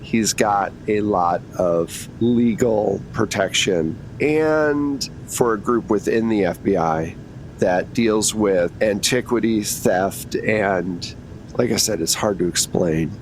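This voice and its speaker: Man playing a composed female FBI agent, noisy recording. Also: slow pace. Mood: guarded, explaining. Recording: noticeable low rumbling background noise.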